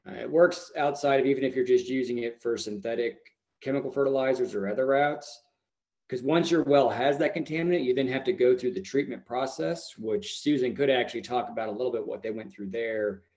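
A man's voice: medium pitch, a little fast, a little mellow. A man in his thirties, an agricultural specialist, explaining things in a matter-of-fact way on a video call. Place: small plain room indoors. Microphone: computer mic on a video call.

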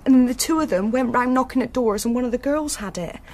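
A woman's voice: sits high, quick pace. An actress playing a young woman hairdresser, plain-spoken and distraught after her boyfriend's murder, in a radio drama. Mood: grieving. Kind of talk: BBC radio drama acting.

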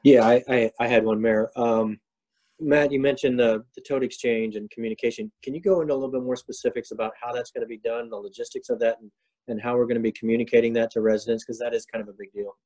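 A man's voice: medium pitch, steady tone, fast, darkish tone, rough recording. A man speaking as a council member, putting questions in a remote meeting over a call. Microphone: phone or video call.

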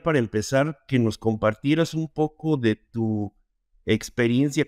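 A man's voice: medium pitch, clean tone, no echo, clean studio sound. A man in his forties hosting an interview podcast in a casual, conversational style. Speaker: podcast host. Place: indoor room on a video call.

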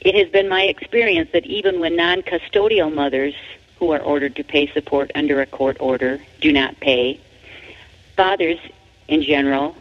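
American woman reading aloud, dull lo-fi sound. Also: sits low. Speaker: author reading own book. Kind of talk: scripted narration, read aloud. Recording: dull lo-fi radio-like audio.

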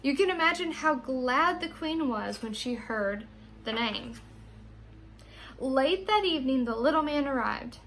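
A young American woman with a high voice speaks as a teacher to children. Her delivery is expressive, in the manner of someone reading a storybook aloud. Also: a little slow, slight background noise. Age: young adult.

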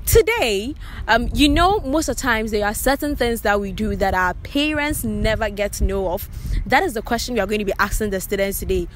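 A woman's voice: medium pitch, lively intonation, a little fast. A young woman with a Ghanaian English accent, hosting a street interview vlog with a handheld mic outdoors, bubbly and teasing, laughing often. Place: outdoor university sports court. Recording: outdoor noise behind.

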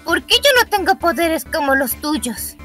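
Earnest young female detective, woman voicing, high bright voice, faint game music. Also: lively intonation, a little slow, full character voice. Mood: earnest wistful. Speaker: co-dubber on a stream.

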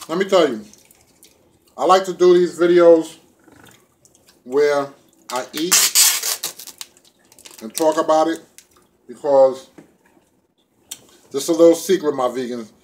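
A man's voice: fairly high pitch, takes it slowly, long pauses, dark tone, slight background noise. A middle-aged man vlogging about vegan food, talking to the camera in a laid-back, playful, colloquial way as he eats. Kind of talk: casual chat to camera while eating. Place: small room indoors at home. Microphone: phone or camera propped within arm's reach.

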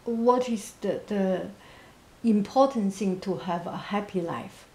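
A woman of medium pitch speaks English as a second language. Her voice is soft, quiet and monotone, with little force behind it. She answers at a very slow pace, gently and haltingly, reflecting as she goes. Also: low-quality recording. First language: not English. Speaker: interviewee, painter and teacher.

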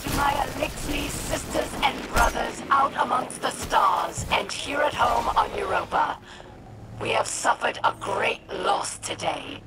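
A woman playing a fierce, proud alien warrior in a video game, with a harsh breathy growl. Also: high voice, expressive, heavy background noise, full character voice. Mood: grim, rousing. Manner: slow, menacing declarations. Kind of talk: video game villain acting. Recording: loud game music and effects behind.

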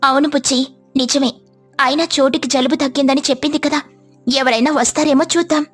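A woman voicing a kindly, modest mother sparrow in a cartoon story, gentle and agreeable in manner. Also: high-pitched, steady tone, a little fast, soft background music, lightly acted.

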